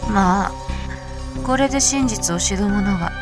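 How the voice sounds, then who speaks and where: A woman's voice: mid-range voice, measured pace, close to an everyday voice. A woman playing a cold, scheming younger sister of a noble family in a voice drama, disdainful in manner. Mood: cold contempt. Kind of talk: voice drama acting. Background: background music.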